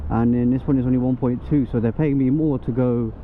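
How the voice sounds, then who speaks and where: A man's voice: mid-range voice, fairly even intonation, brisk. London delivery guy vlogging on the go. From England. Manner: chatty, lots of fillers and asides to viewers. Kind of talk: casual vlog chat. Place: out on the street and in a car. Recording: tinny lo-fi audio with faint traffic.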